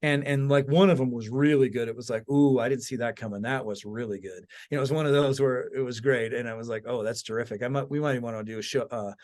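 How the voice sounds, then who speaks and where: A man's voice: mid-range voice, fairly even intonation, fast, hardly any pauses, a little mellow. A man hosting a podcast in casual conversation, telling stories with emphatic asides and frequent check-ins with his listener. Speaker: podcast host and author. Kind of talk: casual chat.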